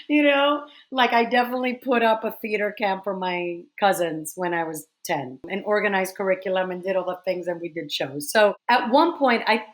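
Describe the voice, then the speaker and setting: Animated woman in her forties. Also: fairly low pitch, poor audio. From Dominican Republic. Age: 40s. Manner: animated, quick storytelling with frequent restarts. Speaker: guest, theater director. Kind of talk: casual interview conversation.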